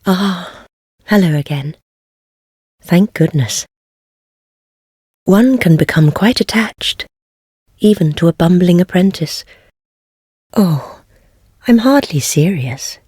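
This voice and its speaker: A husky low world-weary witch teacher, formal old-timey wording, fantasy game, softly teasing. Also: animated, dry recording, clean studio sound, heavily acted. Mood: wry, weary. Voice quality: husky, breathy. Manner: measured, archaic formal speech, softly teasing. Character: wry, world-weary heretical witch, a gentle teacher of dark sorcery. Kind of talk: scripted fantasy game voice acting.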